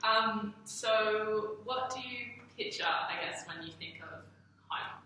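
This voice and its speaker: Woman interviewing, bright and very echoey. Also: medium pitch, slow pace. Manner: encouraging, effusive. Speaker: interviewer, host. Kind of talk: casual interview chat. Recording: very echoey room, distant mic, faint noise.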